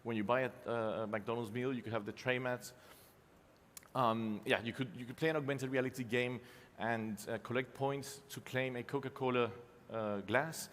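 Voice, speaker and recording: Male presenter, forties, mid-pitched, loose and self-mocking, lots of ums, captured in a reverberant conference hall. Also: steady tone, normal speed, fairly bright tone, a little hiss or hum. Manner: casual, self-deprecating, many ums and restarts. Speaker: conference speaker, company co-founder. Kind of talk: live conference keynote with slides.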